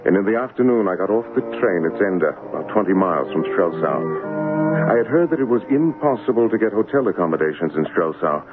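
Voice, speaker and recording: Man playing deep-voiced gentleman, old radio. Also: lively intonation, fast talker, over music, close to an everyday voice. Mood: narrating calmly. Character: young titled English gentleman, polite and determined. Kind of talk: radio drama acting. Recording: muffled, noisy old radio broadcast.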